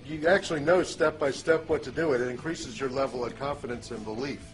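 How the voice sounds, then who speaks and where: A man's voice: mid-range voice, a little fast. A man in corporate sales giving a polished, persuasive testimonial. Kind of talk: testimonial to camera. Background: soft music.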